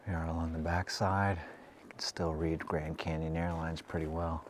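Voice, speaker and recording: A man's voice: rumbling low, normal speed, slight background noise. A man casually describing an old airport site as he walks around it outdoors. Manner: relaxed, pointing things out as he walks. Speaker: travel vlogger. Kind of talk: casual exploring commentary.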